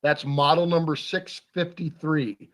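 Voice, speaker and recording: A man's voice: a little high, steady tone, a little slow, a bit bright. A man hosting a hunting radio show, talking casually and reading a sponsor special with lots of fillers. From Iowa. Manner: folksy, filler-heavy delivery. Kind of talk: casual radio talk with an ad read.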